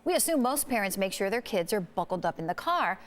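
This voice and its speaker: A woman's voice: mid-range voice, very animated, a little fast. A woman presenting scripted television news as an anchor.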